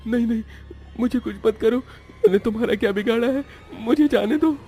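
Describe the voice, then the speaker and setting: A man voicing a timid, frightened villager in an animated horror tale, anxious and pleading. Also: very high voice, normal speed, soft background music. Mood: terrified. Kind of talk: animated horror story dialogue.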